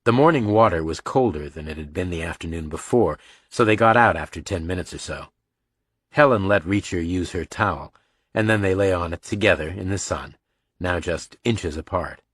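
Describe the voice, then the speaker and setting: A man's voice: low voice, moderate pace, slightly rough, no echo, clean studio sound. A man reading a crime story as scripted narration. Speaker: audiobook narrator.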